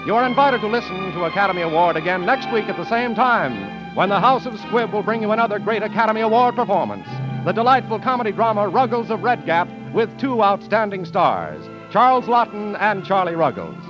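A man's voice: high-pitched, sing-song, fairly quick, hardly any pauses. A man announcing from a script in a polished, formal 1940s radio style. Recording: muffled 1940s radio broadcast, faint music.

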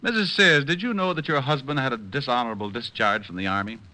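An actor playing a suave, wisecracking private detective in a 1950s radio drama, with an American accent and a sardonic, quick-witted delivery. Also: male voice, mid-range voice, pushed and bright, close to an everyday voice, rough recording. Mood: accusing. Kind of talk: 1950s radio drama acting and hard-boiled narration. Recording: vintage radio drama recording.

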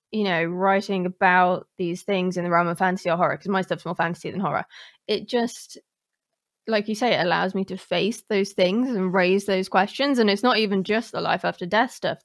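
A woman's voice: medium pitch, no room sound. Woman podcasting, close dry sound. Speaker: podcast host, author. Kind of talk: casual podcast conversation.

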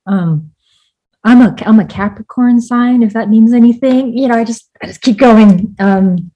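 Mellow, husky-voiced woman author. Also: a little high, moderate pace, lo-fi sound. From Vietnam. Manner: reflective, many fillers and restarts, laughs often. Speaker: author and guest. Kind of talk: casual interview conversation.

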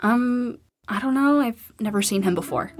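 An actress playing a witty, sarcastic young schoolteacher in a post-apocalyptic audio drama, with a wry, bantering manner. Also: female voice, on the high side, moderate pace, faint music behind, natural voice. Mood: bashful, sarcastic. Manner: wry, dry-humored, bantering. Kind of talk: naturalistic audio drama acting.

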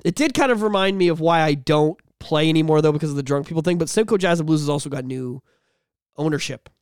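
A young man co-hosting a casual podcast, telling animated stories, swearing freely and humming bits of songs. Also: a little high, quick pace, smooth, dry recording, clean studio sound. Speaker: podcast co-host and musician.

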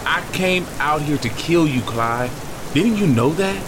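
An actor playing a bitter, grieving brother who talks in a raw, anguished way. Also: male voice, fairly high pitch, lively intonation, measured pace. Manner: raw, anguished, shifts from resentful to shouting. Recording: noisy storm backdrop.

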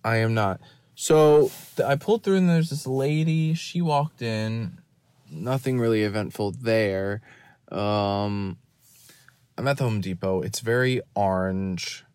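A man giving a rambling, deadpan and self-mocking running commentary from a parked car, recorded on his phone. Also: on the low side, slow pace, clear, resonant tone, no echo. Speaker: podcast host. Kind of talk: rambling off-the-cuff monologue. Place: parked car in a store parking lot.

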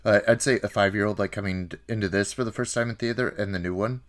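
A man in his thirties talking casually about film as a podcast co-host, halting and wry. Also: on the low side, steady tone. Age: 30s.

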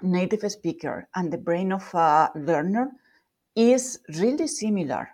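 A woman with a Spanish accent explains her research in a fairly low voice. She talks through ideas thoughtfully, stopping to rephrase and filling pauses as she goes. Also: expressive, a little mellow. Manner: thoughtful, with fillers and self-corrections. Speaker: guest researcher. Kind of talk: explanatory interview talk.

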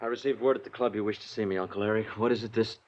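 A man's voice: fairly low pitch, steady tone, racing, a little mellow, natural voice. An actor playing a polite but defiant young male archaeologist in his twenties in a 1950s radio drama. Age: 20s. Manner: polite, earnest, firm. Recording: dull lo-fi 1950s radio broadcast.

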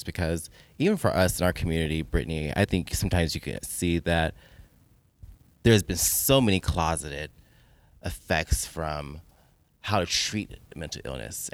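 American man, podcast host, deep register, dry sound, conversational. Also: dry recording.

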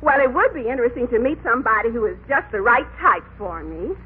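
Nervous married lady: high, halting, lively swings, old radio comedy through a tinny narrow band. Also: husky. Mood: shy, flustered. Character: shy, flustered married woman seeking new friends. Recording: thin, muffled old radio recording.

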